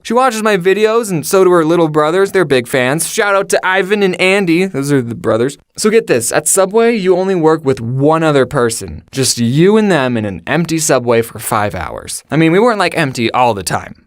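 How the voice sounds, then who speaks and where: Young man, American accent, lively swooping delivery, dry close sound, casual comedic storyteller, sarcastic asides. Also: a little high, normal speed, hardly any pauses, no room sound. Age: young adult. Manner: chatty, self-deprecating, sarcastic asides. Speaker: storyteller and video host.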